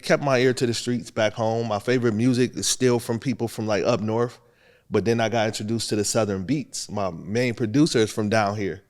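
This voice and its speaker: A young man from Buffalo, a rapper, talking casually in an interview and telling his story with easy laughs. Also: mid-range voice. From Buffalo, New York. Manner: relaxed, storytelling, laughs often. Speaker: rapper guest.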